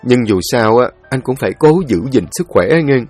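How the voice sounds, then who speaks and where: A man voicing a calm older neighbor who soothingly urges a grieving father to stay steady. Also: mid-range voice, normal speed, no room sound, soft background music, close to an everyday voice.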